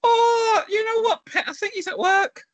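A man voicing a tiny, prim female giraffe who takes offence easily, talking in a shrill, fussy way. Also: moderate pace, fairly bright tone. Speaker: podcast co-host.

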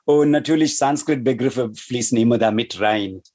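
A man's voice: mid-range voice, moderate pace, a little mellow. A middle-aged man from India with an Indian accent, whose first language is Tamil, answering interview questions as a yoga teacher in a thoughtful, hesitant way with many fillers.

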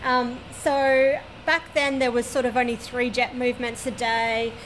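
A woman's voice: a little high, flat delivery, measured pace, a bit bright, poor audio. A woman in her forties with an Australian accent, an air traffic controller giving detailed, matter-of-fact interview answers at a regional airport. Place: at a regional airport, overlooking the runway.

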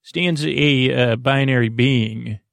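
A man hosting a bedtime podcast in a creaky, soothing voice, meandering and halting with stammers and self-deprecating asides. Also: mid-range voice, dry recording. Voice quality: creaky, gravelly. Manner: soothing, lulling. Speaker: podcast host. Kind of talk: rambling bedtime sleep podcast monologue.

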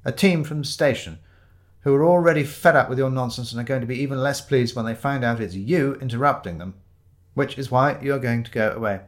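A man's voice: medium pitch, fairly quick, lightly acted. Guy doing a lady police inspector in a story, dry and bossy, fed up, short with everyone. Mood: exasperated. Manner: dry, firm, commanding. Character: curt, exasperated female police inspector, authoritative.

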